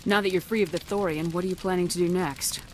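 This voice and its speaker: A woman's voice: fairly low pitch, fairly even intonation, fast talker, a little mellow, slight background noise, natural voice, rough recording. An actress playing a no-nonsense female military commander in a video game, direct and businesslike. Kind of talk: video game dialogue acting.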